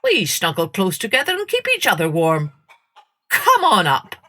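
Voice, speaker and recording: A bossy rooster, as a woman acts him out, barking stern orders in a storybook tale. His voice is breathy and swings widely in pitch. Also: wildly swooping pitch, normal speed, bright, forceful tone, heavily acted. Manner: stern, snappish.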